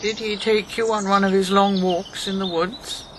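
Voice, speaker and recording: An actress plays a steely older woman, once a wartime agent and Nazi-hunter. She speaks with grave, measured control in naturalistic radio drama style. Birdsong and ambient noise sit clearly behind her. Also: mid-range voice, level tone, natural voice. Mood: probing.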